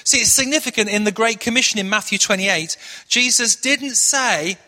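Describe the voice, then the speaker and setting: A middle-aged man from Manchester preaching a sermon as pastor, telling stories earnestly and dropping into a hushed prayer. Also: high voice, normal speed. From Manchester, England. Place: church hall. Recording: long echoey hall reverb.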